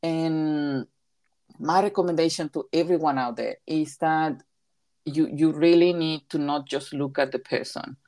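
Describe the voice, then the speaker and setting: Low-voiced woman with a Mexican accent. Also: a little slow, a little mellow. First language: Spanish. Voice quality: emphatic, repeats key phrases. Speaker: recreation therapy leader. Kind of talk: interview answers.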